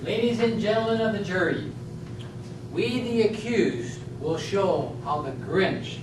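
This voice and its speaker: Woman acting as animated, emphatic defense lawyer. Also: medium pitch, a little slow, natural voice. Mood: declamatory. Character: dramatic, challenging defense lawyer in mock trial. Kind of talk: scripted amateur stage courtroom play. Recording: echoey distant room, noisy.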